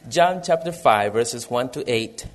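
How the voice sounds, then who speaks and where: Man, Salvadoran Spanish accent, missionary pastor, earnest, measured delivery. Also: medium pitch, lively intonation. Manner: earnest, measured, reads from prepared notes. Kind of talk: missionary church presentation and sermon.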